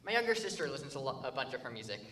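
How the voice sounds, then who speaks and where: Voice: medium pitch, big pitch swings, rapid-fire, sharp tone. A teenage actor playing a shy, awkward male mathlete who talks hesitantly in a staged reading. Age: teens. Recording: distant, with faint background noise.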